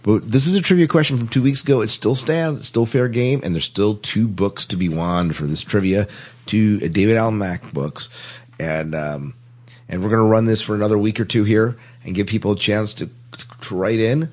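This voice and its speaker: Deep husky guy hosting a podcast, casual chat. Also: normal speed, a little mellow. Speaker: podcast co-host.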